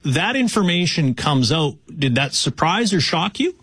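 A man's voice: a little high, big pitch swings, unhurried, a bit bright, husky, no room sound. A man hosting a news talk radio interview, conversational and questioning.

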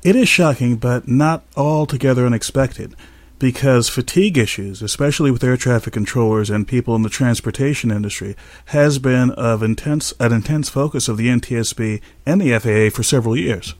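A man speaking as an expert host in a measured, explanatory way. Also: mid-range voice, no echo. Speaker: aviation safety expert and podcast host. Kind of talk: scripted narration and expert interview answers.

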